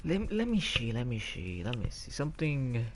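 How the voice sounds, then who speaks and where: A man's voice: mid-range voice, big pitch swings, normal speed, slight background noise. A man streaming from home on a headset mic, rambling in casual chat with many fillers and restarts.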